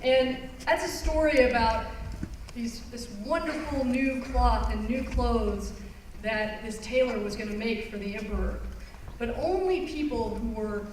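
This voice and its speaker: A woman lectures to an audience in an earnest, explanatory way, stressing key phrases. Her voice sits fairly high. The recording is far from her, in a very echoey hall with faint noise. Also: unhurried, a little mellow. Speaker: environmental lawyer giving a talk. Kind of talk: public presentation. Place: conference hall.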